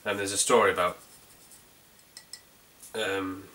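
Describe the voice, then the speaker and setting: A man's voice: low voice, level tone, takes it slowly, bright, forceful tone, a little hiss or hum. A man with a North Yorkshire accent chatting casually through a beer review, rambling a little with plenty of ums and restarts. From North Yorkshire, England.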